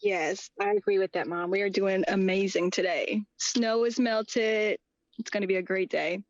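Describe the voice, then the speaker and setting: A woman's voice: medium pitch, fairly even intonation, normal speed, dry recording. A young woman guest on a podcast, chatty and upbeat, laughing often. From Texas and Rhode Island. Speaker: guest, tea business co-owner. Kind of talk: casual interview talk. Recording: dry, dull lo-fi audio.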